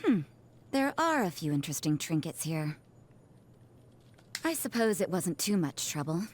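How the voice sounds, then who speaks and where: A woman's voice: on the low side, very animated, unhurried. A woman voicing a cool, understated young woman in a video game. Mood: cool, mildly pleased. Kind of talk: video game character voice lines.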